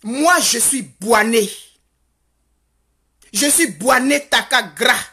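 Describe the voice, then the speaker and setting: Husky woman, slow and fervent. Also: a little high, bright, forceful tone. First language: Godié. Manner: rhetorical questions, insistent repetition, rising to fervent. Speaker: commentator. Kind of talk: impassioned social commentary monologue. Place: Canada.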